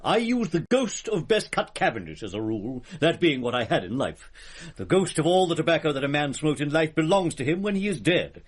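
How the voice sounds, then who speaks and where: Radio comedy: elderly gentleman ghost, played by a man, higher-pitched, very animated, haughty and indignant, proud of his crimes. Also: brisk, breathy edge. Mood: smug. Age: older. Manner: pompous, indignant, formal Victorian diction. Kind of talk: comic radio drama acting.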